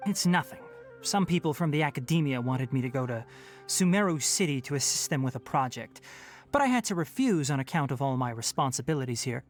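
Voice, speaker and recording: A woman's voice: rumbling low, animated, fairly quick, faint music behind. A woman playing a polite but firm, guarded young male forest ranger in a video game. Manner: courteous, formal, firm.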